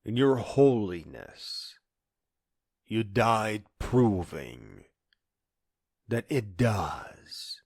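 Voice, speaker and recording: A man delivering a dramatic spoken-word monologue in an earnest, emphatic manner that moves between hushed and insistent phrases. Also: on the low side, very animated, very slow, gravelly, pauses often, no echo, studio quality.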